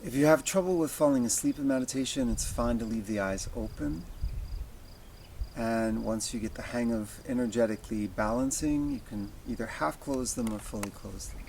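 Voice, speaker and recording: A man's voice: mid-range voice, gravelly, a little mellow, slight background noise. Man guiding meditation over chirping insects. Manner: slow, deliberate phrasing with long pauses. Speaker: meditation teacher. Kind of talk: guided meditation.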